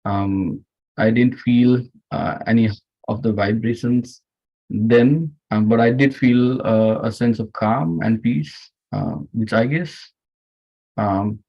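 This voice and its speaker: A man from Nepal in his thirties or forties with a Nepali accent, speaking informally and haltingly as host of an online meditation session. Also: mid-range voice. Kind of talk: informal personal talk to online group. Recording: muffled, dull lo-fi online audio.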